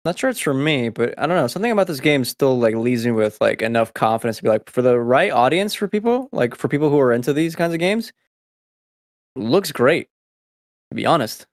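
A man who grew up speaking Spanish first, hosting a casual podcast and rattling off news items in quick succession. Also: mid-range voice, animated, dry recording, studio quality. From United States. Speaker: podcast host.

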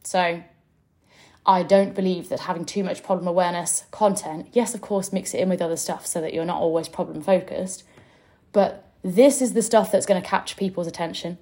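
A woman's voice: on the low side, fairly quick, dark tone. A young adult woman, a business coach hosting her own podcast, teaching in a chatty, direct way with mild swearing. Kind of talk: casual teaching podcast monologue.

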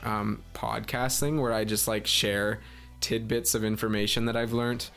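A young man with a breathy voice, a musician chatting casually as a podcast guest and telling stories with lots of filler words. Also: fairly low pitch, little pitch movement, normal speed, a bit bright, soft background music. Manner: chatty, filler-heavy storytelling.

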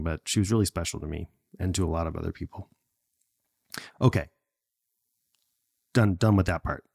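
Low-voiced joking podcast host man. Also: a little mellow. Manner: rambling, self-mocking, laughs often. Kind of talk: casual comedy podcast chat.

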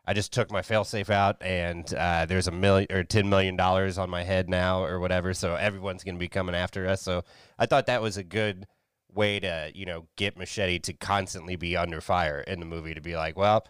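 A man's voice: low-pitched, flat delivery, brisk, clean tone, no room sound, studio quality. A man co-hosting a movie podcast, laid-back, going through his notes with a laugh. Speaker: podcast co-host. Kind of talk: casual movie review chat.

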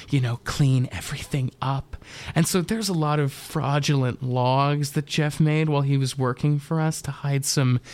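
A man's voice: mid-range voice, a little mellow, clear, resonant tone, natural voice. A man playing a breezy, shady store boss in a radio drama, glib and rambling.

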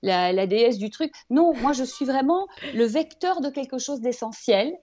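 Enthusiastic higher-voiced woman from Belgium. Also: lively intonation, moderate pace, warm tone. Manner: enthusiastic, emphatic, rambling. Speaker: interview guest, author of a self-help book. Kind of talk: casual motivational conversation.

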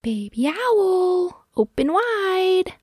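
A woman's voice: extremely high pitch, sing-song, drawn-out words, a little mellow, no echo, studio quality, voice pushed up, heavily acted. A woman voicing a patient mother owl in a storybook, coaxing and instructive in manner.

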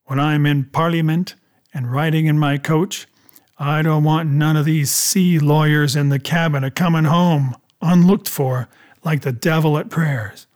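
A male narrator puts on a cunning pirate sea cook for an adventure audiobook. He is commanding and scornful, with emphatic seafaring phrasing and a slightly high male pitch. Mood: cold, emphatic. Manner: emphatic, rustic seafaring speech.

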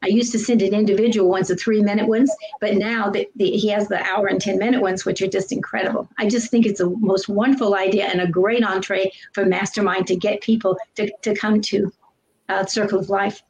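A woman guest speaking softly with a gushing, heartfelt manner, her voice at an ordinary middle pitch. A faint hiss of noise runs under her voice. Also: steady tone, fairly quick, low-quality recording. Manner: soft-spoken and gushing, with light laughs. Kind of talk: casual testimonial chat.

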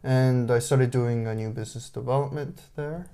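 A man in his twenties from Canada, whose first language is Japanese, speaking as an interview guest in a relaxed way with frequent restarts. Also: mid-range voice, moderate pace. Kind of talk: casual interview conversation.

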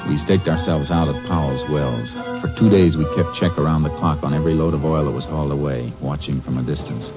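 A low-voiced, terse Texas Ranger. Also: male voice, brisk, few pauses. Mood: matter-of-fact narration. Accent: American. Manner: terse, plain-spoken, folksy. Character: steady, determined male Texas Ranger investigator and narrator. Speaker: lead actor and narrator. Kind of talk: 1950s radio drama acting and narration. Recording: dull old 1950 radio recording, music behind.

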